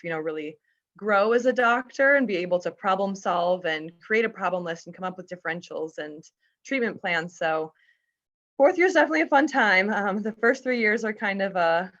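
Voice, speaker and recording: A woman's voice: fairly low pitch, fairly bright tone. A young woman from the Chicago suburbs, a veterinary student, chatting casually and upbeat with lots of fillers on a video call. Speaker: fourth-year veterinary student. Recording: muffled video-call audio.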